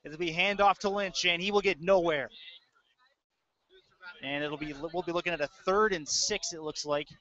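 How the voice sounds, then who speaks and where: Male football play-by-play commentator, forward bright tone, clipped and casual, slightly muffled webcast sound. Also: high-pitched, normal speed, long pauses. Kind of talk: live American football commentary. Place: indoor audio-only webcast. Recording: dull lo-fi audio.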